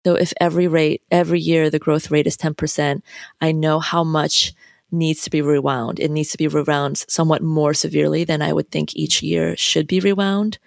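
A novelist in their thirties, raised in the United States, speaks in an even, level tone with a gravelly edge. They think aloud in a loose, reflective way, filling pauses and adding asides. The recording is close and dry. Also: mid-range voice, no room sound. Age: 30s. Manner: thoughtful, loose, many fillers and asides. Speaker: novelist being interviewed. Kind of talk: reflective interview talk.

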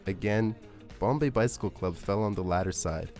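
A man hosting a podcast, reading scripted music-documentary narration in a measured, essay-like way. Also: fairly low pitch, a little mellow. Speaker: podcast host. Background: music under the voice.

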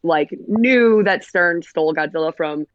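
Raspy new woman in her 30s chatting on a podcast, kinda hoarse, muffled dry call sound. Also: lowish voice, unhurried, dry recording. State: recovering from covid, hoarse. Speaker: podcast guest. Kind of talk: casual chat.

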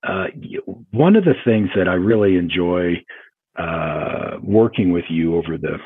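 A man hosting a conversational podcast interview, speaking in a halting way and often restarting his phrases. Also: deep voice, animated, slightly rough. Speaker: podcast host. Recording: tinny phone-like line.

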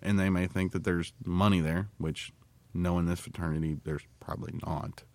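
A man's voice: sits low, fairly even intonation, moderate pace, no echo. A man co-hosting a podcast, talking casually with blunt, joking remarks. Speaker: podcast co-host. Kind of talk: casual banter and discussion.

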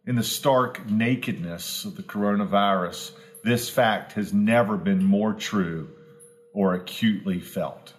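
A man's voice: fairly low pitch, a little slow. A man, a pastor giving an earnest, homespun devotional talk that builds to emphatic repetition. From Southwest Virginia, US. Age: 40s.

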